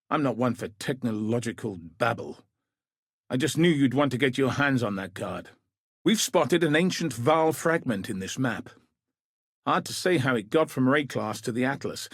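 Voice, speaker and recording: Gruff husky war vet commander for a video game, grave n world-weary, measured delivery, super dry close sound. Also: male voice, medium pitch, no echo. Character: weary, battle-scarred veteran commander, gruff, grave and plain-spoken. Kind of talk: video game character dialogue.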